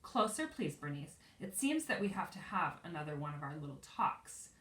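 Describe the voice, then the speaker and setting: A woman reading a storybook aloud as a strict, unfriendly neighbour lady, high-voiced, clipped and condescending. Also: very animated, normal speed, fairly bright tone. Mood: stern, condescending. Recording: roomy echo, distant from mic.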